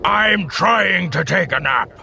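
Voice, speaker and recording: A man voicing a grumpy, indignant river dragon with a gravelly voice in a children's animated story. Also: high-pitched, takes it slowly, slight background noise, heavily acted. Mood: irritated.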